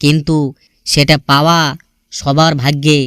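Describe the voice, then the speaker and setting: A man with a smooth, resonant voice pitched a little high recites sentimental love sayings. His manner is earnest and melancholy, with a poetic, scripted feel. The recording is close and dry, free of room sound. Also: level tone, a little slow, a bit bright, dry recording.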